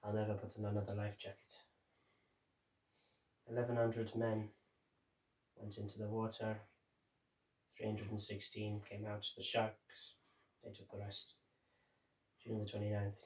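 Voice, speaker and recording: A man playing a grim, haunted sailor who survived a sinking, reciting a dramatic monologue in halting, measured phrases with deliberate pauses. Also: fairly low pitch, monotone, lightly acted. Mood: haunted, weary. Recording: roomy echo, distant microphone.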